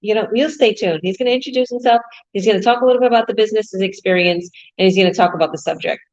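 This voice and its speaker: A woman's voice: medium pitch, racing, a little mellow, lo-fi sound. A woman hosting an online business talk show, chatting in an upbeat way with fillers. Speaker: host and business coach.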